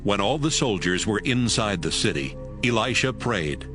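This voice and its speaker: A male narrator with a slightly low, lively voice reads scripted Bible narration. His pitch moves expressively, and music plays clearly behind him. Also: normal speed.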